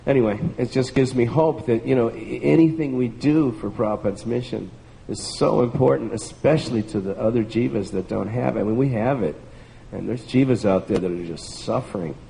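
A man's voice: medium pitch, brisk, gravelly, few pauses, warm tone. A man in a group telling an enthusiastic personal story and laughing between phrases. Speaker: group participant. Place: large room with a group. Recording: distant echoey hall, faint hiss.

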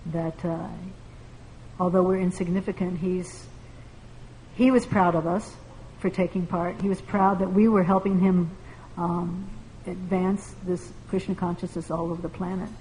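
A woman's voice: fairly low pitch, fairly even intonation, mellow. Earnest woman leading a devotional group. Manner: earnest, halting, many fillers. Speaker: class discussion leader. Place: large room with a group. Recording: distant echoey hall, faint hiss.

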